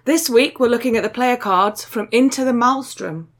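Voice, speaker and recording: A woman's voice: a little high, moderate pace. A woman hosting a podcast, joking her way through card art in casual chat. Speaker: podcast host.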